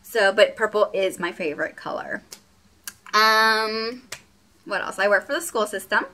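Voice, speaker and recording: A woman in her late twenties, born in Puerto Rico and raised in Texas, chats to the camera at home. Her voice is bright and crisp, sitting at a middle pitch. She rambles freely, stopping often on "um" and "what else.". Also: a little slow. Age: late 20s. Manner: chatty and rambling, with frequent fillers. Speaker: beauty vlogger. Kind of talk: casual get-to-know-me vlog.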